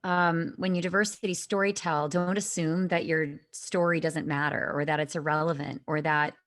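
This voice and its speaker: A woman's voice: low-pitched, little pitch movement, normal speed, fairly bright tone, no echo. A woman speaking as a guest in a conversational interview, thoughtful and earnest, with frequent fillers, heard over a video call.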